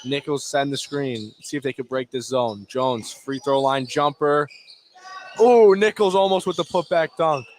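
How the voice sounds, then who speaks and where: A man's voice: medium pitch, unhurried, a little hiss or hum. A man calling live basketball play-by-play for radio in short, clipped phrases. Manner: clipped, fragmentary calls of the action. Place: indoor high school gym, courtside.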